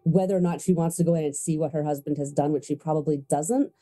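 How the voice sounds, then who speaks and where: A woman's voice: low voice, fairly even intonation, quick pace, dark tone. A woman playing a tabletop roleplaying game, casually describing what her character does.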